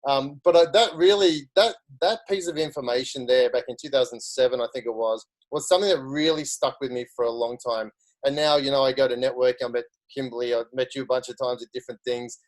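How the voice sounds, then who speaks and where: Australian man, middle-aged, casual guest speaker, restarts a lot, average pitch. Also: brisk, low-quality recording. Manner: chatty, restarts often. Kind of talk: casual career story.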